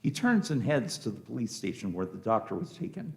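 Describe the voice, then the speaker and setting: A man's voice: fairly low pitch, sing-song, fairly quick, gravelly. A man reading scripted narration for a radio play, setting each scene as the narrator.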